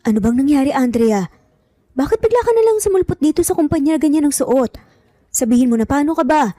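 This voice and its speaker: A woman's voice: high voice, lively intonation, a little fast, dark tone, dry recording, pitched above the performer's own voice. A woman voicing a concerned, doubting young man in an audio romance, earnest and questioning. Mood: worried. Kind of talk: dramatised audio romance storytelling.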